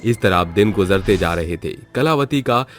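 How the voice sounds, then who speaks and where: A man's voice: low voice, expressive, normal speed. A man narrating a scripted moral story as its storyteller.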